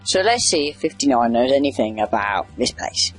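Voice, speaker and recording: A man's voice: medium pitch, sing-song, moderate pace, breathy edge, soft background music, poor audio. A man giving casual, rambling video game commentary, wry and chatty as he reacts aloud. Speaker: gamer doing a video game playthrough.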